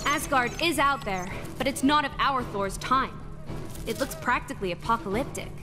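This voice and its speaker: A practical, sharp superheroine from an animated game, played by a woman. Her voice is high, with loud music underneath. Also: normal speed, lightly acted. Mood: concerned. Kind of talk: animated game cutscene acting.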